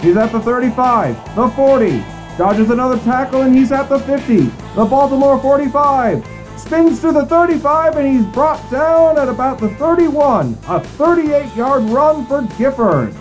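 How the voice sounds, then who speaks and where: An actor plays a male 1950s football announcer with a high-pitched voice. He calls plays in a brisk, declamatory, dramatic old-time broadcast style over clearly audible music. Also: rough recording. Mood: excited. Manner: declamatory, building excitement on plays. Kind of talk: old-time sports commentary.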